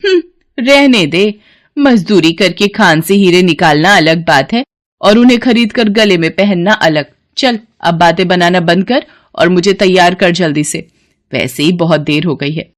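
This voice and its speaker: A woman's voice: lowish voice, unhurried, natural voice. A woman voicing an arrogant, greedy rich mistress in a story, bossy and scornful. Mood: boastful, scornful.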